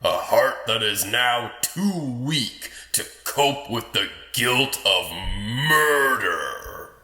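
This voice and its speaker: A man's voice: medium pitch, very animated, bright, forceful tone, reverberant, full character voice. A man as a sly, malicious dream-haunting lord. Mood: cold, accusing. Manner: theatrical, sly, menacing, drawn-out phrasing. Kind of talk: dramatic audio drama acting.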